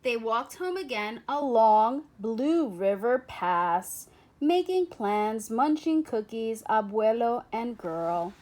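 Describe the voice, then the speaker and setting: A woman reads a children's picture book aloud in a high-pitched voice. Her pace is very unhurried, and she phrases each part deliberately, with expressive emphasis as a storyteller.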